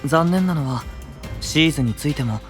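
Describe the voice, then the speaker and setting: An actor playing a gentle, caring young male knight, soft and earnest, in a fantasy voice drama. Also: fairly high pitch, expressive, normal speed, soft background music, close to an everyday voice. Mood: soft, thoughtful.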